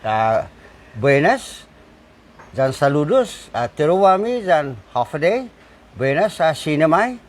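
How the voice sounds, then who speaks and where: A man's voice: a little high, sing-song, measured pace. A man, a farmer and bull cart maker, talking in a casual interview with many fillers and restarts. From Saipan, Northern Mariana Islands. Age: 60s. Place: outdoors at his home.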